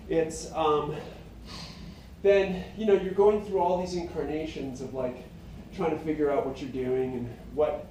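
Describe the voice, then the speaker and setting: A middle-aged singer-songwriter with a high tenor voice, talking in a meandering, reflective way full of fillers and restarts. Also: male voice, warm tone, a little hiss or hum. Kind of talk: rambling casual monologue. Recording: very echoey room, mic at a distance.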